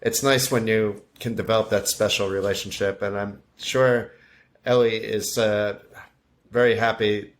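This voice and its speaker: A man hosting a casual interview, asking questions in a relaxed, conversational way. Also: on the low side, unhurried. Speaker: interview host.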